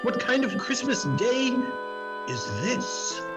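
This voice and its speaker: A man playing a fearful, remorseful old miser, halting and theatrical, in a dramatic Christmas reading. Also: extremely high pitch, sing-song, full character voice. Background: music.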